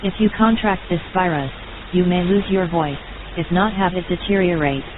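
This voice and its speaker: A woman's voice: on the low side, moderate pace, phone-quality sound. A woman reading a scripted emergency announcement as an alert announcer. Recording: hissy, noisy alert audio.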